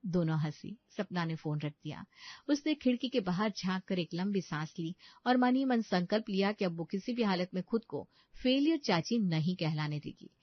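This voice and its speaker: A woman's voice: lowish voice, animated, normal speed, dry recording, dull audio. Woman narrating a story, dry sound. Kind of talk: scripted bedtime story narration in Hindi.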